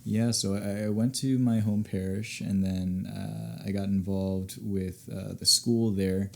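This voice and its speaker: A man's voice: on the low side, steady tone, measured pace, creaky. A young American man, a podcast guest, telling stories in an easygoing, rambling way during a casual interview. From United States.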